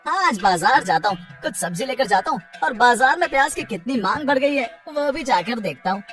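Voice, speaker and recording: A woman's voice: on the high side, expressive, fairly quick, fairly bright tone, soft background music. A woman voicing a greedy, scheming male farmer in a children's cartoon story, theatrical and exclamatory. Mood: eager, smug.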